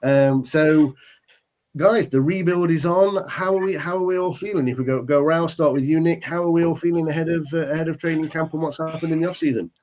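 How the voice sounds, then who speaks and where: A man's voice: on the high side, fairly even intonation, a little fast, a little mellow. A British man hosting a casual podcast chat, stuffy with a summer cold and rambling with many ums. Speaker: podcast host.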